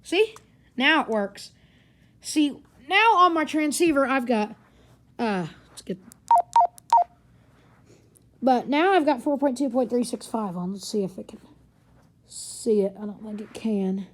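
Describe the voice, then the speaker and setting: A woman speaks in a somewhat high-pitched voice. She gives an informal, hands-on demonstration from her living room. Her delivery is casual and rambles as she goes. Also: expressive, unhurried, long pauses, fairly bright tone. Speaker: gadget reviewer. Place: carpeted living room indoors. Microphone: handheld camera or phone, speaker off screen.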